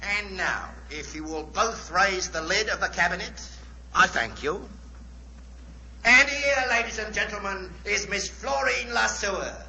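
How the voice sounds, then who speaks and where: A man's voice: high-pitched, measured pace, creaky, bright, forceful tone, exaggerated voice. A man playing a showy stage magician in 1940s radio drama, speaking in a grandiose, announcing manner. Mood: grand, showy. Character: showy male stage magician, theatrical showman. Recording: muffled, very noisy 1940s radio recording.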